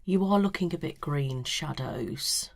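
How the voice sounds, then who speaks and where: A woman giving a soft-spoken ASMR consultation in an unhurried murmur that slips in and out of a whisper. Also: sits low. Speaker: colour analysis consultant.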